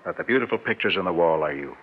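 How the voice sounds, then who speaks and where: A man's voice: low voice, animated, racing, husky, full character voice. An actor playing an ardent, self-centered man wooing an old flame, insistent and earnest, in classic radio drama style. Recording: muffled, lightly noisy old radio recording.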